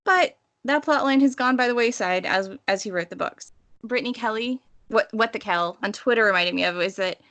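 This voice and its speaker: Bright-voiced woman chatting about books. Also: mid-range voice. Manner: enthusiastic, digressive explainer. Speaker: podcast co-host. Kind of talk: casual, chatty book discussion.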